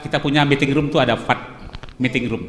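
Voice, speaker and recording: A middle-aged man from West Sumatra, a hotel director explaining his hotel on a studio talk show, pausing often with filler sounds, speaking into a handheld mic. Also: medium pitch, fairly even intonation. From Pesisir Selatan, West Sumatra. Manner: hesitant, many filler pauses, explanatory. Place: television studio set. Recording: roomy studio with long reverb, faint noise.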